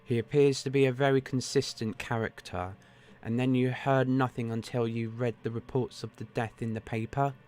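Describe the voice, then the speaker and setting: A man reading aloud, voicing a calm, precise Victorian detective in a measured, deliberate way. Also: medium pitch, natural voice.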